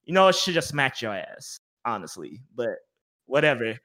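A man chatting on a podcast, enthusiastic, sometimes dropping into soft asides. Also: medium pitch, big pitch swings, moderate pace. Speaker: podcast co-host. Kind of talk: casual chat.

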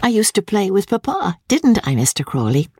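A man's voice: high voice, big pitch swings, moderate pace, slightly rough, no room sound, studio quality, pitched above the performer's own voice, heavily acted. A man doing a polite, cheerful young lady. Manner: bright, eager, polite.

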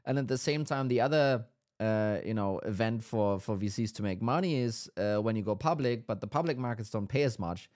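A man's voice: fairly low pitch, brisk, a little mellow. A man hosting a casual business podcast, explaining things at length with many ums and restarts; his first language is Danish. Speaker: podcast host.

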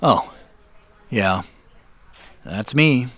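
A man's voice: medium pitch, expressive, very slow, fairly bright tone, slight background noise, full character voice. An actor playing a wry, guarded man in an audio drama, talking in a dry, laid-back, natural way. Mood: polite, casual. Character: wry, guarded man who suspects he is being spied on.

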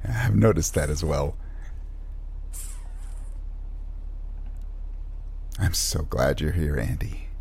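An actor playing a gentle, flustered male scientist in an audio drama, hesitant, stammering and soft-spoken. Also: mid-range voice, expressive, unhurried. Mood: tender.

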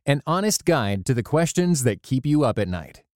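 A man's voice: medium pitch, sing-song, normal speed, darkish tone, no echo, studio quality. A man reading a sponsor announcement. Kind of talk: read sponsor message.

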